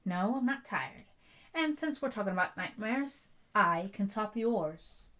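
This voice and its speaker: A woman's voice: medium pitch, animated, unhurried, pushed and bright. Headstrong young bride-to-be, blunt and chatty woman acting. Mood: uneasy storytelling. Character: headstrong, stubborn bride-to-be, werewolf prince's future wife. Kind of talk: intimate ASMR roleplay dialogue.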